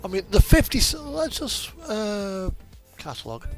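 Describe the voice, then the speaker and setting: A man's voice: high-pitched, very animated, normal speed. A man giving casual, rambling game commentary in a conversational, opinionated, mildly sweary way. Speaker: streamer playing a truck simulator. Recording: music playing behind the voice. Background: radio music.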